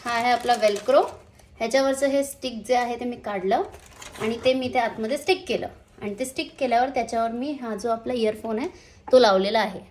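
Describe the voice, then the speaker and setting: High-voiced woman giving a casual gear demo. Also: fairly quick, slight background noise. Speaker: motovlogger presenting a product. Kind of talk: casual unboxing and installation demonstration.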